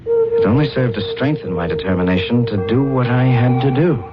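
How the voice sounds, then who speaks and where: An actor playing a cold, emotionless humanlike alien in a radio drama, speaking in a formal, deliberate, detached way. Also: male voice, medium pitch, wildly swooping pitch. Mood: detached, reflective. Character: cold, emotionless humanlike alien man bent on invading Earth. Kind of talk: radio drama acting with first-person narration. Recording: muffled radio drama recording, loud music behind.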